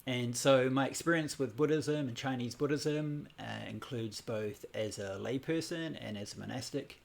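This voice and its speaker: Man, forties, from New Zealand, livestream teacher, gravelly, easygoing rambling delivery. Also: mid-range voice, moderate pace. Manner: relaxed, rambling, many fillers and restarts. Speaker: Buddhism teacher. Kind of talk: casual livestream class. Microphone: computer or phone livestream.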